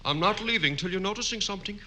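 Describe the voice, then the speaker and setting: A man's voice: high voice, fast, close to an everyday voice. A man playing a cheeky comic tailor. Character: wisecracking male tailor with a comic accent. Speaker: tailor character. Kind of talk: 1940s radio comedy acting. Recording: old radio broadcast with hiss.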